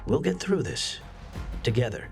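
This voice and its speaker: An actor playing a smooth-talking advisor who counsels patience in a video game cutscene. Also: male voice, medium pitch, very animated, moderate pace, soft background music. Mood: reassuring.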